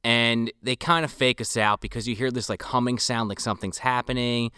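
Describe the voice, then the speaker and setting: A man's voice: medium pitch, fairly quick, sharp tone, clean tone, no echo, studio quality. A man co-hosting a podcast, recapping a movie in long, rambling, wisecracking stretches. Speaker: podcast co-host. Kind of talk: casual movie review chat.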